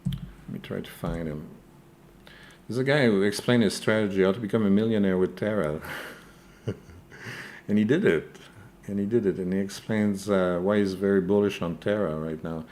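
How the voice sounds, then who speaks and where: A man's voice: low-pitched, normal speed, pauses often, darkish tone. A man with a French accent, a native French speaker, hosting a live crypto news stream. He answers viewer questions in a hesitant way, with many fillers and a repeated "okay?". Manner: hesitant, frequent "uh" and tag "okay?".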